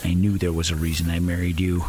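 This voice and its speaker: A man's voice: deep voice, completely flat delivery, fast talker, a little mellow, a little hiss or hum, lightly acted. A man playing an obliging, easygoing diner owner in a radio play, agreeable and a little hesitant.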